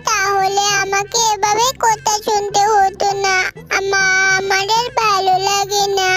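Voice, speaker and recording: A woman plays a sad, gentle young fox girl in a cartoon. The voice is extremely high and plaintive, meek and pleading, over prominent music. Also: flat delivery, a little slow. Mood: grieving. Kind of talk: cartoon story voice acting.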